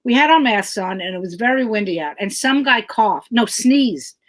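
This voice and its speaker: A middle-aged woman comedian with a loud voice, ranting emphatically and swearing freely in casual chat. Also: mid-range voice, expressive, normal speed. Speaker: comedian guest.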